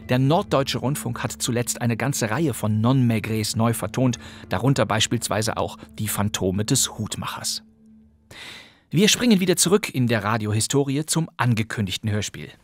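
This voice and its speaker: A man's voice: mid-range voice, expressive, normal speed. A man hosting a podcast, giving a scripted, emphatic and slightly wry introduction. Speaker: podcast host. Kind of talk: scripted introduction of radio plays.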